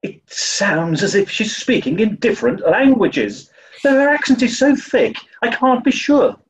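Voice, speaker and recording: A man's voice: very high-pitched, sing-song, measured pace, exaggerated voice, poor audio. A man playing a dim-witted, cheerful detective in a 1940s-style comedy radio play, theatrical and plummy. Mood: blithe.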